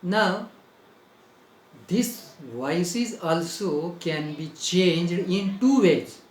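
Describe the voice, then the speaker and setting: A man's voice: sits high, lively intonation, drawn-out words, roomy sound, slight background noise, low-quality recording. A man with an Indian English accent teaching a grammar lesson. He repeats phrases for dictation and spells words out letter by letter.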